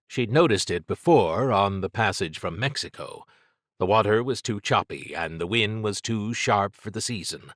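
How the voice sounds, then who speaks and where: Slow, low-voiced male audiobook narrator. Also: a bit bright, no room sound, clean studio sound. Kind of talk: scripted fiction narration.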